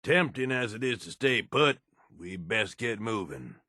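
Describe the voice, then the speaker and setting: An actor playing a gruff, cynical raider henchman in a video game, blunt, foul-mouthed and grudgingly loyal, talking in a rough colloquial drawl. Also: fairly low pitch, sing-song, unhurried, pushed and bright, dry recording, clean studio sound, exaggerated voice. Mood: fond, teasing. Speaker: video game companion character. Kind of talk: video game dialogue lines.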